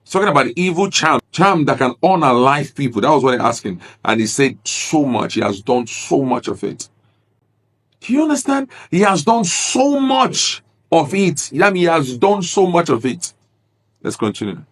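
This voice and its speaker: A man's voice: a little high, big pitch swings, normal speed. A man, an Igbo speaker, giving earnest, grieved commentary on a video clip and repeating his points. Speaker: reaction video host.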